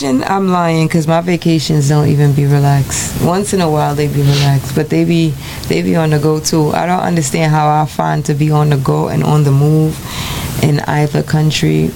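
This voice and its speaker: A woman radio host talks in a low, gravelly voice. Her chat is loose and rambling, full of fillers and restarts. A steady hiss of noise sits under her voice. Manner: laid-back, rambling, lots of fillers and restarts. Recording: noisy radio studio recording.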